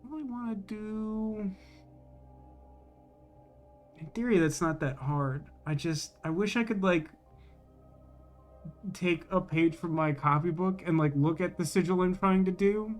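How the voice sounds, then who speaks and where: A man's voice: high-pitched, a little slow, pauses often, faint music behind. A man doing casual live gameplay commentary, rambling and thinking aloud as he questions himself. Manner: rambling, musing, self-questioning. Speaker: game streamer.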